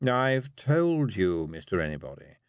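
A man voicing a toad who was once a lawyer, speaking in a slow, precise way. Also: deep voice, sing-song, clean tone, no room sound, clean studio sound, exaggerated voice. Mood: patient.

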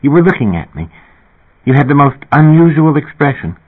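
A man reading an audiobook and voicing a shy, breathless young woman singer who talks in a rushing, excited way. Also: a little high, husky, pitched above the performer's own voice. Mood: eager, breathless. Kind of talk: audiobook character voice. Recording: tinny phone-like audio.

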